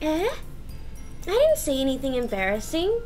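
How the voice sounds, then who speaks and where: Lady doing cutesy teasing schoolgirl, visual novel, high slow bouncy voice, playful, music in back. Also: huge pitch swings, darkish tone, full character voice. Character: sweet, playful, childish teenage schoolgirl best friend. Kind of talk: visual novel read-aloud.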